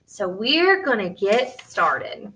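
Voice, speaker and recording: A woman reading a picture book aloud for young children in an expressive, deliberate way, as a storyteller. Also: mid-range voice.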